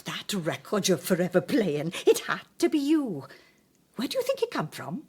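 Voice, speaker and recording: An actress playing a spiteful, suspicious middle-aged woman in a radio drama, sharp, accusatory and breathy. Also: medium pitch, wildly swooping pitch, moderate pace. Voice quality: husky, breathy, hissing. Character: spiteful, suspicious, scolding housekeeping sister, a woman.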